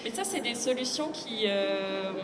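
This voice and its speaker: A woman's voice: a little high, expressive, measured pace, a crowd in the background. A woman giving thoughtful explanations as a guest translator in a conversational public discussion. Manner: thoughtful, explanatory, illustrates with quoted asides. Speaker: literary translator, guest speaker. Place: public book fair talk. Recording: echoey hall, loud ambient noise.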